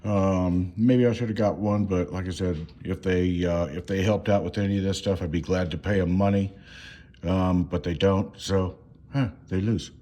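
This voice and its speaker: A man giving a casual, unscripted hands-on walkthrough at home, speaking haltingly with frequent pauses mid-sentence. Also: deep voice, fairly even intonation, dark tone. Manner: halting, hesitant, pausing often mid-sentence. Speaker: home DIY reviewer. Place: indoors at home.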